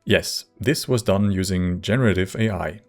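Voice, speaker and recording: A man reading scripted video essay narration in a measured, wry manner. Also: sits low, darkish tone, soft background music.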